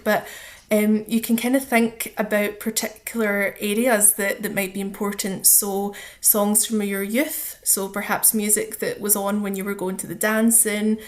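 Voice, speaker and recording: Female charity guest, Scottish accent, mid-pitched and level in intonation, informal and explanatory interview delivery.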